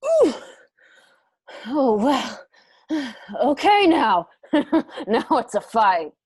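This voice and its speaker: A woman's voice: high voice, sing-song, extremely slow, slightly rough, dry recording, clean studio sound, heavily acted. An actress playing a cocky, defiant young female warrior in a radio drama, brash and taunting. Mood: riled up.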